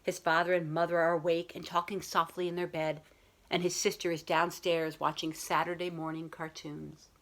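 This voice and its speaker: A woman's voice: fairly low pitch, little pitch movement, normal speed, a bit bright. A woman reading a picture book aloud as a school librarian, talking to young children in simple, explanatory phrasing.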